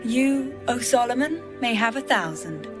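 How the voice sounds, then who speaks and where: Woman acting a proud, unhurried biblical bride over music. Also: fairly high pitch, animated. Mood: proud, measured. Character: young bride in biblical love poetry, devoted and proud. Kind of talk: dramatised audio Bible reading over music.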